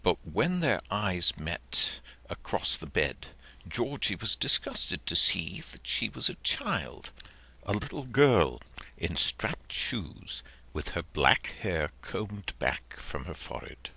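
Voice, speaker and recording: A man reading a literary story as an audiobook narrator, in a measured, expressive, dramatic manner. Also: deep voice, vocal fry, husky.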